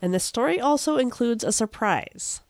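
A woman's voice: a little high, lively intonation, moderate pace, slight background noise. An American woman hosting a podcast, moving between scripted narration and interview questions. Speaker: podcast host.

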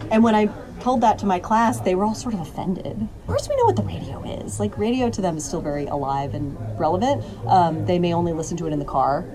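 Woman teacher, lowish voice, casual interview, animated storytelling, background chatter. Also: fast talker, hardly any pauses. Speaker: high school radio teacher.

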